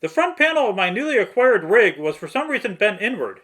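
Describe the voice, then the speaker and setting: A man's voice: sits high, very animated, fairly quick, a bit bright. A man reading a scripted, step-by-step technical tutorial narration.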